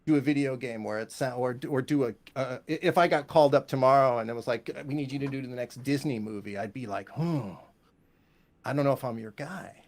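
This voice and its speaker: A man's voice: medium pitch, brisk. Enthusiastic, rambling man chatting about music. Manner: enthusiastic, rambling, punctuated with "you know" and "right?". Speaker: composer, interview guest. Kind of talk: casual interview conversation about music.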